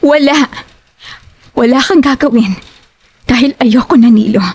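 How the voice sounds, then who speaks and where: A woman's voice: high voice, a little slow. A woman with a Filipino accent acting a firm, fed-up young woman in an audio drama. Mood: cold, firm. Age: young adult.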